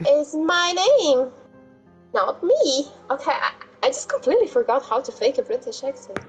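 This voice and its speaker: Playful high-voiced woman, Portuguese native. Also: sing-song, normal speed, faint music behind. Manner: playful, blunt. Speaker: co-host sister. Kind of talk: casual joking chat.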